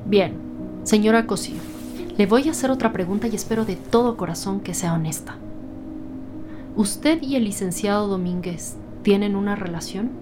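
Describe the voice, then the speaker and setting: A woman playing a firm, authoritative special agent who questions formally and deliberately in a crime audio drama. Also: medium pitch, over music, lightly acted. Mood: stern, controlled.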